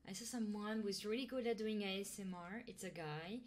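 A woman's voice: mid-range voice, a little fast, darkish tone. A woman chatting casually to her live audience on an ASMR stream, often checking in with her listeners in a coaxing way. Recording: clear buzzing electric motor noise. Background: buzzing hum of a handheld massager.

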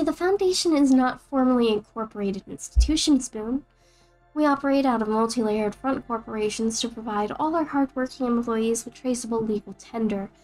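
Woman voicing a patronizing hotline operator, silky-polite, high voice. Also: darkish tone, lightly acted, rough recording. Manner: courteous and condescending. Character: smug, polite secret-agency phone operator.

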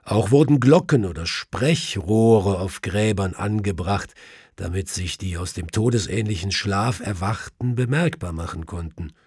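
An earnest, cautious Victorian doctor in an audiobook, played by a male narrator. The voice is husky and recorded very dry. He explains in measured, formal sentences. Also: lowish voice, darkish tone, dry recording. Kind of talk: audiobook character voice.

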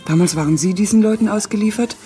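A shrewd, ambitious wife acted by a woman in a crime radio play. She is critical and pointed, in a medium-pitched voice, with music behind her. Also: fast talker, mellow, lightly acted. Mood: probing.

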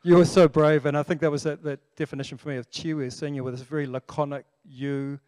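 A man's voice: fairly high pitch, little pitch movement, fast talker, a little mellow, clean tone. An older man hosts a panel, upbeat and playful, sprinkling in Maori greetings. His handheld mic carries a long reverb tail from the large hall around him. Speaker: panel moderator. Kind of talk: moderating a panel. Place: large formal hall on stage.

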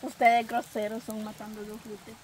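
Young woman, high voice, giggly, loud stream noise. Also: completely flat delivery, normal speed. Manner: chiming in, giggly, protesting. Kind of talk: casual outdoor chat. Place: outdoors by a river. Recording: outdoor noise, stream behind.

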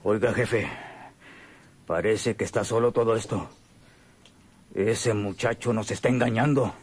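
An actor playing a gruff, suspicious outlaw henchman in a radio drama. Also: lowish voice, a little slow, a little mellow. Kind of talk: Mexican radionovela acting. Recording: old radio drama recording, faint noise.

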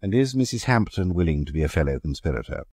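A man's voice: deep voice, sing-song, fast talker, a little mellow, slightly rough, no echo, clean studio sound, heavily acted. A man reading a story aloud, voicing an older lord who laughs off a scheme. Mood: amused. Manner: amused. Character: amused aristocratic older lord.

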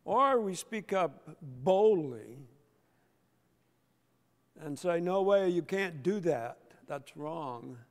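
An older man, a pastor, preaching a sermon from the pulpit with slow, emphatic delivery and deliberate pauses. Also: a little high, lively intonation. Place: large church sanctuary, at the pulpit. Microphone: pulpit microphone. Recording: long church reverb.

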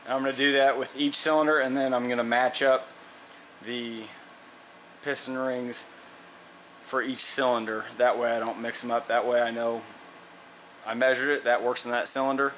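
A plainspoken man talks through his hands-on repair work at a middling pitch, pausing and restarting as he measures. A faint air-conditioning hum sits under his voice in a garage workshop. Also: little pitch movement, a bit bright, poor audio. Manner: plainspoken, thinks aloud with fillers and restarts. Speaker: mechanic demonstrating an engine rebuild. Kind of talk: casual how-to narration while working.

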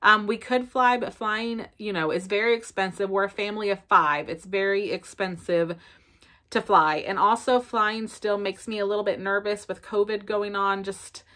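A bright, clear-toned woman in her thirties, speaking with a Southern American accent. She talks to the camera in a chatty, expressive way, with many ums and likes. She is recorded close up in a bedroom at home, at a middle pitch. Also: moderate pace. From South Carolina. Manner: chatty, expressive, lots of fillers. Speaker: family vlogger. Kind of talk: casual chat to camera. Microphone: camera in front at close range.

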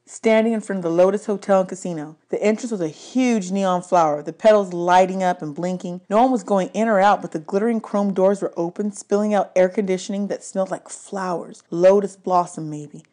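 A woman's voice: mid-range voice, a little fast, few pauses, warm tone. A woman narrating a novel aloud as an audiobook reader. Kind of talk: read-aloud story narration.

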